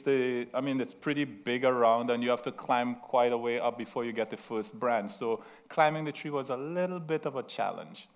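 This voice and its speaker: A middle-aged man with a Trinidadian accent, preaching a sermon in a chatty, self-mocking way and often checking in with the room. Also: mid-range voice. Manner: chatty, laughing at himself, asks the room "right?". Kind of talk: sermon with asides and stories.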